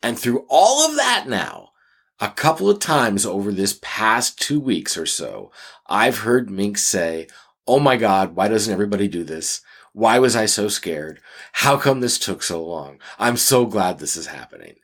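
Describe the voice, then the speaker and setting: A man's voice: on the low side, big pitch swings, a little slow, fairly bright tone. A man chatting conversationally as a podcast co-host.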